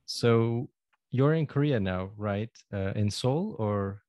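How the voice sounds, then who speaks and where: A man hosting a travel podcast, speaking in a relaxed, friendly way with frequent fillers. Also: on the low side, takes it slowly, no room sound, clean studio sound. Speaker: podcast host. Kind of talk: casual podcast interview.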